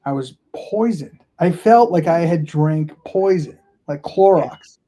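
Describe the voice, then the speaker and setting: A man's voice: fairly high pitch, animated, takes it slowly, mellow. A man from Florida, a podcast guest, telling a candid story in a casual, rambling way with many fillers and restarts.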